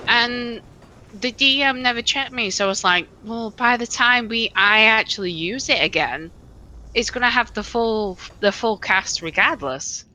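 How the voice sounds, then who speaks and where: A woman's voice: mid-range voice, pushed and bright, a little hiss or hum, poor audio. A woman streamer chatting casually while gaming, rambling with many fillers and restarts into a desk microphone.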